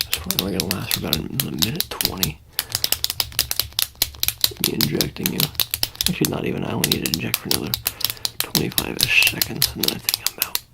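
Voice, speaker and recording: A soft-spoken ASMR roleplayer, sometimes whispering, playing an offhand handler in a hesitant, rambling, casually reassuring way. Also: medium pitch, gravelly, heavy background noise. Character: offhand handler preparing an alien for public exposure.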